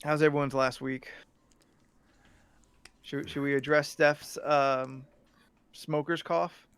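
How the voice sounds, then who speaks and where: A man's voice: a little high, flat delivery, takes it slowly, a bit bright, slight background noise. A man co-hosting a podcast, joking and teasing the others in casual chat. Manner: jokey, teasing banter. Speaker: podcast co-host.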